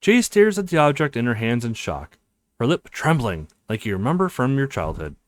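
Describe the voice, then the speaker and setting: A man's voice: medium pitch, sing-song, moderate pace, dry recording. A man hosting a let's play, talking to viewers and reading visual novel text aloud as narration. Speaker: let's play video host.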